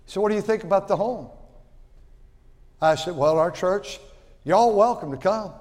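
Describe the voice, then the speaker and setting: A man in his sixties preaching a sermon in a folksy way, repeating words and swinging from loud to quiet. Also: sits high, moderate pace, long reverb tail. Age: 60s. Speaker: pastor.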